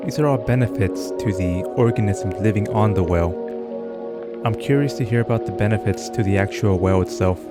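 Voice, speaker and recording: A man's voice: fairly low pitch, a little fast, over loud music. A man giving casual reaction commentary as he plays a game, curious and thinking aloud.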